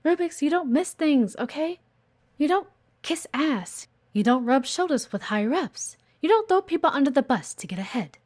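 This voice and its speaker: High-voiced, animated bossy office woman, acted by a woman. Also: moderate pace. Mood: earnest, admiring. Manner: exasperated, assertive, frequent sighs. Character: bossy, blunt female executive assistant, guarded but earnest. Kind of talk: audio roleplay acting addressed to the listener.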